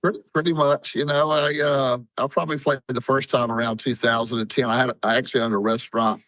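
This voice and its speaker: A man with an average-pitched voice chats as a podcast guest. He tells anecdotes in a casual way, recorded dry without room echo. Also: steady tone, quick pace, fairly bright tone. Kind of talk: casual chat, telling anecdotes.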